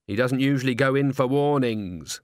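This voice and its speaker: A man's voice: medium pitch, moderate pace, no echo, clean studio sound, natural voice. A man voicing a friendly, easygoing young man who explains things casually, in an audiobook story. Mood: matter-of-fact. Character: friendly, easygoing young male organ tinkerer.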